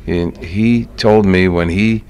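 A man's voice: low voice, slow pace, darkish tone, clean tone. A man with an American accent hosting a conversational radio interview in an easygoing, encouraging way. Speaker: radio show host.

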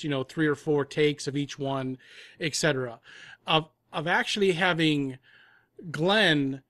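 A man's voice: on the high side, a bit bright, no room sound, clean studio sound. A man talking casually as a guest on a podcast, in the role of an audio drama producer.

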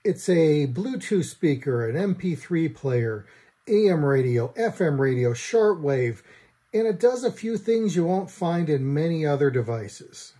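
A middle-aged man with an American accent reviews a product to camera in a dry, wry way. His voice sits a little high for a man, yet it is soft-edged and mellow, with a husky grain. He speaks from a room at home. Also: big pitch swings, a little slow. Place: small room at home, indoors.

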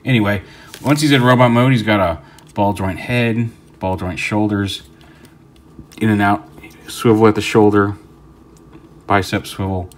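A man's voice: low-pitched, slight background noise. A man talking casually through a hands-on toy review, rambling with fillers and restarts, recorded indoors at a tabletop.

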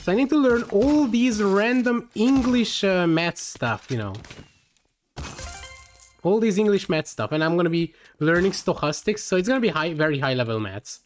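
A man's voice: sits high, expressive, normal speed, slight background noise. A young man from Hungary with a Hungarian accent, streaming a game live and chatting in a rambling way with lots of fillers, tired late in the session. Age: young adult.

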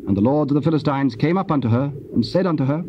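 A man giving a solemn, measured scripted narration of scripture. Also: medium pitch, lively intonation. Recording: muffled, noisy old cassette transfer.

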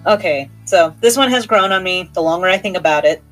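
A woman's voice: lowish voice, fairly quick, faint music behind, poor audio. A woman hosting a horror podcast, talking in a conversational, playful and enthusiastic way. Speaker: podcast host.

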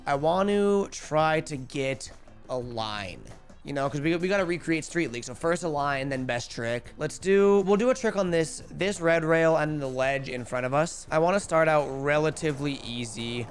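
A young man in his twenties streaming a skateboarding game, chatty and energetic, calling out his tricks, a bit hoarse. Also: on the high side, moderate pace, faint music behind. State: losing his voice. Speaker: gaming streamer. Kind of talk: live gameplay commentary. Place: home streaming room. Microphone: headset.

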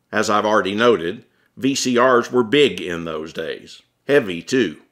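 A man's voice: lowish voice, sharp tone. An American man reading his own memoir as an audiobook, in a wry, conversational storytelling manner. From Atlanta, United States. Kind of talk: scripted first-person narration, conversational memoir.

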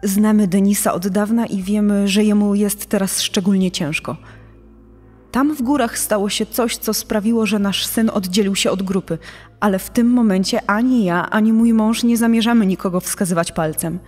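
A woman's voice: mid-range voice, fairly even intonation, normal speed, darkish tone, close to an everyday voice. Anguished mother in long reverb, played by a woman. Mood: pained, forgiving. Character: forgiving, anguished mother of a missing boy. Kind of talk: acted quote in a true-crime podcast. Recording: long hall reverb, music underneath.

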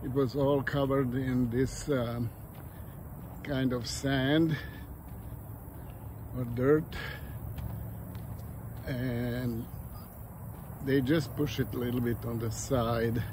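A man on a park walk, describing what he films in a slow, halting way, with many fillers. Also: medium pitch, fairly even intonation. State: panting in the heat. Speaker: nature vlogger. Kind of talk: casual walking commentary. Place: outdoors in a grassy park by a pond. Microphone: camera mic, speaker off screen. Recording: outdoor noise and wind.

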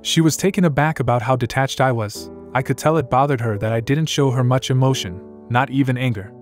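Guy in his 40s reading a post out loud, calm and even, quiet music behind. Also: mid-range voice, brisk. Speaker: narrator. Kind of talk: reading a first-person post aloud. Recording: soft music underneath.

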